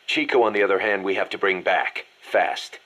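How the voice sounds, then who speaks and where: A man playing a gruff, serious military second-in-command in a dramatic game audio scene, speaking with emphasis. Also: sits low, moderate pace, sharp tone. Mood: stern. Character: gruff, urgent military second-in-command briefing his boss.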